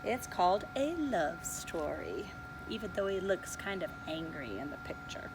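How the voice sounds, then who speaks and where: A preschool teacher reading a picture book aloud to young children, slowly and expressively, pointing out the pictures. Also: female voice, on the low side, background noise. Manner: slow, expressive read-aloud, showing pictures.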